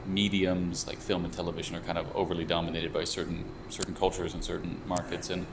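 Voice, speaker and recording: A young man with a very low voice talks through his ideas slowly and carefully, often restarting a phrase. An air conditioner hums steadily behind him. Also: little pitch movement, vocal fry. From United States. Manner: thoughtful, measured, with frequent restarts. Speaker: VR researcher and developer. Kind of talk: explanatory interview talk. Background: air conditioning hum.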